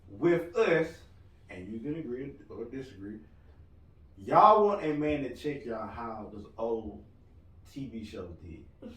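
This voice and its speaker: A loud-voiced man chatting on a podcast, rambling and cracking jokes in an easy, mid-pitched voice. He sits well back from the mic, and the room adds a roomy echo around him. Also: sing-song, slow, far from the mic. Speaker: podcast co-host. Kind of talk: casual podcast chat.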